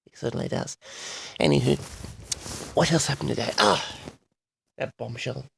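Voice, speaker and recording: A man hosting a personal audio log, rambling solo to his audience in a theatrical manner with drawn-out words. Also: medium pitch, big pitch swings, vocal fry, a bit bright, slight background noise.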